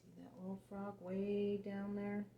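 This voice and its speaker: A woman's voice: medium pitch, flat delivery, measured pace, gravelly, lo-fi sound. A woman telling a picture-book story to young children, simply and expressively, putting questions to the listener. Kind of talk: animated read-aloud storytelling for young children. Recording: roomy echo, faint background noise.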